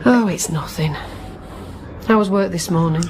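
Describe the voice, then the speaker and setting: An actress playing a concerned, busy married sister in a radio drama, chatty and coaxing. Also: female voice, lowish voice, moderate pace, over music, natural voice. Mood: weary, friendly. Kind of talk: naturalistic radio drama acting.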